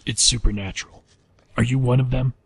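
Man acting as a weary dad, bewildered, husky voice, medium pitch, radio drama. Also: steady tone, brisk, fairly bright tone. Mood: confused, insistent. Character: weary, bewildered father fearing for his life. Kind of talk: radio play dialogue.

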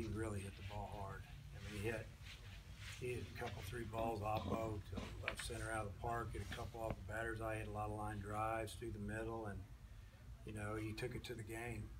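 A man coaching a college baseball team, answering reporters after a game in a plain-spoken way, with a lot of "you know" fillers. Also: lowish voice. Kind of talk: postgame press conference answers. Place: outdoor press area with sponsor backdrop. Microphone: table mics, recorded from a distance. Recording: distant mic with outdoor noise.

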